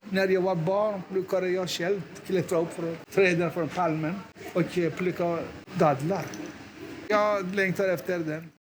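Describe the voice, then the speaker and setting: A man from southern Iran who speaks haltingly, with many restarts, as he recalls his childhood in an interview. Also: high voice, fairly even intonation. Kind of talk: personal memories in an interview. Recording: background noise.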